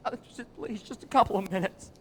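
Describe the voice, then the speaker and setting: A man's voice: high voice, a little fast, crowd noise, natural voice. A young man playing a stammering desperate man. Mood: pleading. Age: young adult. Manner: stammering, pleading. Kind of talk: live radio play acting. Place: stage in a small theater. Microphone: vintage stand mic on stage.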